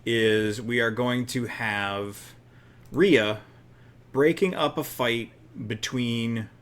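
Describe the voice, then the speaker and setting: A man's voice: mid-range voice, drawn-out words, fairly bright tone. A man co-hosting a casual chat while playing a wrestling booking game, easygoing and thinking aloud.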